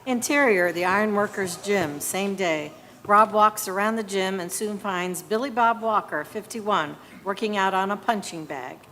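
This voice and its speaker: A woman narrates screenplay directions in a crisp, matter-of-fact way, at a middle pitch. A long hall reverb surrounds the voice. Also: moderate pace, pushed and bright, a little hiss or hum. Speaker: narrator reading stage directions. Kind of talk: scripted narration.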